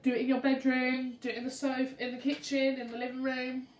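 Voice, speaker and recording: A woman reading a picture book to young children in an expressive, interactive way, speaking to them directly. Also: high-pitched, fast. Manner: expressive, questioning, addresses young listeners. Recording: roomy, distant mic, faint noise.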